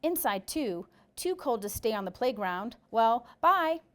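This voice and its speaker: A woman's voice: on the high side, very animated, unhurried. A woman reading aloud, voicing a shy schoolgirl who shivers and speaks briefly. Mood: chilly.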